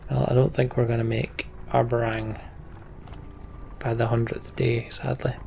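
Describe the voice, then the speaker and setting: Scottish male let's play host, storytelling narration and casual commentary, very creaky voice at medium pitch. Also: flat delivery, a little slow, a little mellow, slight background noise. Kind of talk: game commentary and dramatic narration of on-screen text.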